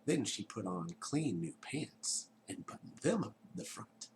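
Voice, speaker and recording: A man reading a children's story aloud in a theatrical way, dropping into hushed tones and chatting to his young listeners. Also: lowish voice, sing-song, unhurried, slight background noise.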